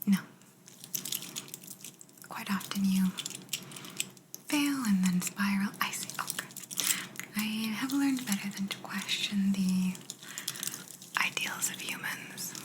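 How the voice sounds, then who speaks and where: A woman playing a polite, curious alien examiner in an ASMR roleplay, speaking in a soft, breathy whisper with a gentle, clinical, faintly bemused manner. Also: medium pitch, lively intonation, slow pace, pauses often, heavy background noise. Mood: curious, attentive.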